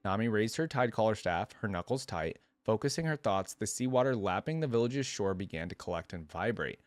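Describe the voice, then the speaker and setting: Low-voiced man reading and musing casually. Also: animated, fairly quick, dry recording. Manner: casual, musing, hesitant asides. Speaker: reaction video host. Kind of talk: reading a story aloud with casual commentary.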